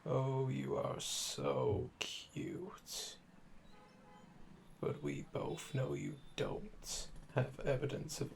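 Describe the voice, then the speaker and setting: A man playing a calm, flirtatious, obsessive assassin under interrogation in an ASMR audio drama, teasing and deliberate, with breathy murmurs. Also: mid-range voice, slight background noise, exaggerated voice. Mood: smug, flirtatious.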